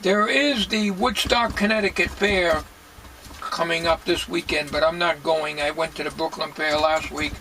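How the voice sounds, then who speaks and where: An older man talking casually as he works at a bench in a home workshop, plain-spoken and musing, with halting delivery. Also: fairly high pitch, steady tone, bright, forceful tone. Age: 60s or older. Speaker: hobbyist radio restorer. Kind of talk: casual talking while working. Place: cluttered home electronics workshop, indoors. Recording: noisy home workshop recording.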